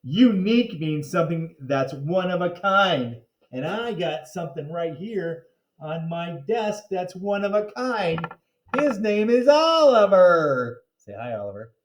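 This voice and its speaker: A man's voice: sits high, sing-song, measured pace. A man voicing a cheerful, scatterbrained woman teacher from a children's storybook, upbeat as she addresses a class. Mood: instructive. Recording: roomy, echoing sound.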